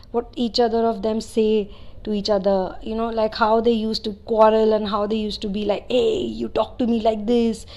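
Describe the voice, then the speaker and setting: Nepali-born woman, spiritual channeler; casual rambling delivery, fillers, slightly high pitch. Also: a little mellow, muffled recording. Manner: chatty, rambling, many "you know" asides. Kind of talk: casual spiritual talk to viewers.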